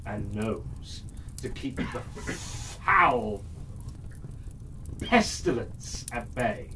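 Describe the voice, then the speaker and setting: A man telling a fairytale to children in a dramatic, theatrical way, drawing out phrases with suspenseful pauses. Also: mid-range voice. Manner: theatrical, drawn-out delivery with suspenseful pauses and big swells. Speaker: storyteller. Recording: distant mic, faint background noise.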